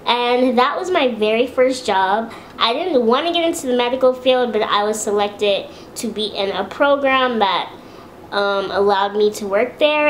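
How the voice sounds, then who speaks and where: Chatty young woman with a high-pitched voice. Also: slight background noise. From Los Angeles, USA. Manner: chatty, relaxed, with many fillers and restarts. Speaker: beauty vlogger. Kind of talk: casual chat to camera.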